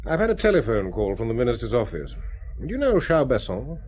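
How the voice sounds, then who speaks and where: A businesslike police chief in a radio drama, voiced by a man. His mid-range voice moves lively as he gives brisk instructions. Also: mid-range voice, lightly acted.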